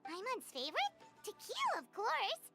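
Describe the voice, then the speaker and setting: A woman voicing a small, eager fairy companion in a video game, with a high, bouncy voice. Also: huge pitch swings, a little slow, creaky, heavily acted. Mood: fond. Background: faint game music.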